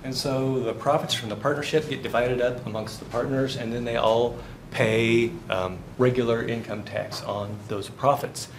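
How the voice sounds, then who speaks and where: A man's voice: medium pitch, fairly even intonation, normal speed, few pauses. A man in his fifties giving a live university finance lecture, chatty, asking the class questions and answering them himself. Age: 50s. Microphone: far camera, no visible mic. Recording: roomy classroom, faint background noise.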